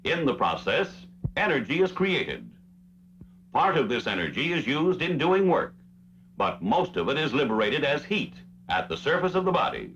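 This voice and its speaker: Casting: male educational narrator, 1960s style, mid-pitched, formal and explanatory, with widely swinging intonation. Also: big pitch swings, normal speed, fairly bright tone. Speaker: training film narrator. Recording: dull, noisy old film soundtrack with hum.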